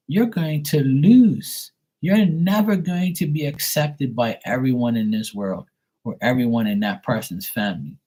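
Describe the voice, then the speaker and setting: A man from Bermuda speaks in a husky, slightly breathy voice at an ordinary pitch. He rants bluntly and profanely to his live stream audience. His talk rambles on, casual and unscripted. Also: a little mellow.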